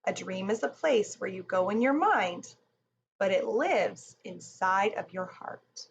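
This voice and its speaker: A woman's voice: mid-range voice, animated, slow pace, creaky. A woman playing a young girl in a children's storybook, earnest and full of wonder. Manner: storybook character voice. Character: young girl, startled, apologetic, wondering about dreams. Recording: muffled with faint background noise.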